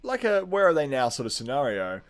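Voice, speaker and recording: A man with a mid-pitched voice hosts a casual film podcast. He rambles enthusiastically in slangy, sweary language, as if chatting with a friend. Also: huge pitch swings, a little fast. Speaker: podcast host.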